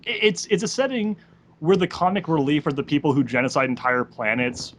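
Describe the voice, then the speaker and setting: A man's voice: fairly high pitch, a little fast, fairly bright tone. A man chatting casually as a podcast co-host, swearing freely and explaining things in an opinionated way. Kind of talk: casual chat. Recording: clearly noisy background.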